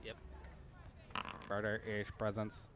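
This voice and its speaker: A man working as game master, improvising narration and rules for a tabletop game while thinking aloud in a halting way. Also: on the low side, completely flat delivery, crowd noise.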